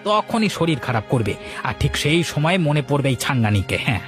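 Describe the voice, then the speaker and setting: Man acting a fast-talking, confident cartoon village shopkeeper. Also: on the high side, big pitch swings, fairly bright tone, soft background music. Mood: confident, reassuring. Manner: patient, rhetorical, self-assured. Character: calm, confident village food seller, reassuring his worried wife. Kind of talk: Bengali moral cartoon dialogue.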